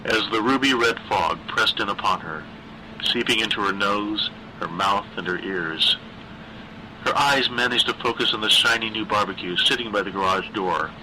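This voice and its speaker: Male horror audiobook reader. Slightly low, very bright, tense tone, deliberate dramatic pacing, faint background noise. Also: low-quality recording. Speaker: story narrator, reader. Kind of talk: scripted narration of a dark horror story.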